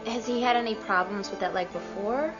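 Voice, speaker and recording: An actress playing a polite, reassuring animal clinic worker with a patient, tactful manner. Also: female voice, medium pitch, brisk, close to an everyday voice. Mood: attentive. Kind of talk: acted video game cutscene. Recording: muffled game audio with music behind.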